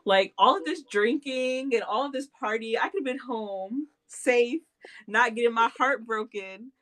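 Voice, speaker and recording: A woman's voice: a little high, measured pace, fairly bright tone. A woman in her late twenties chatting casually as a podcast host, self-deprecating and laughing often. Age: 20s. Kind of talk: casual chat.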